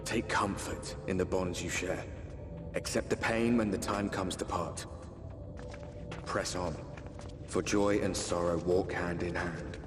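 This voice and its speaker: A deep-voiced, solemn sage narrating a fantasy game, measured and poetic. Long reverb trails the words over clear music. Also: male voice, fairly even intonation.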